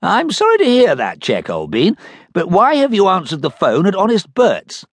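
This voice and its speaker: A man's voice: high-pitched, wildly swooping pitch, normal speed, slightly rough, dry recording, pitched above the performer's own voice, exaggerated voice. A man voicing a pompous, conniving con man who talks like a plummy army officer. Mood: blustering.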